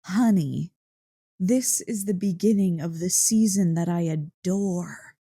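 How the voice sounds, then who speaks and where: A woman playing an excitable, playful dog-girl girlfriend in an ASMR roleplay, gushing and teasing with mock indignation. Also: fairly low pitch, slow pace, breathy edge, no echo, studio quality, exaggerated voice. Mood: playful coaxing. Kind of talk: ASMR roleplay, one-sided conversation.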